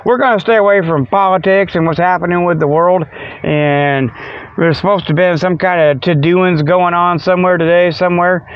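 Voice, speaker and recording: Folksy American man rambling, slightly high voice. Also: fairly even intonation, moderate pace, smooth, dry recording. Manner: folksy and meandering, with joking asides. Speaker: host of a comic Sasquatch show. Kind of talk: rambling casual monologue. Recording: dull, lo-fi radio-like sound, close and dry.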